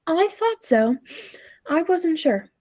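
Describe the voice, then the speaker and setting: A woman's voice: extremely high pitch, big pitch swings, slow pace, darkish tone, full character voice. A woman playing a time traveler's uneasy, doubtful companion in a 1940s-style radio play, earnest and hesitant. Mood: wary.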